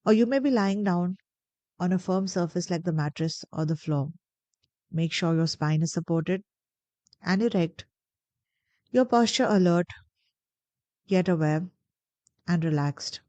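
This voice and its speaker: A woman guiding a meditation in a gentle, deliberate, soothing way, pausing between phrases. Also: fairly low pitch, no room sound. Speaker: meditation guide. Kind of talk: guided meditation narration.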